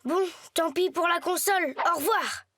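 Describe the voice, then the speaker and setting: Very high-voiced polite little boy. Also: moderate pace. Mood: indignant. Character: polite, good-natured young boy in a snowman guise. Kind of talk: children's cartoon acting.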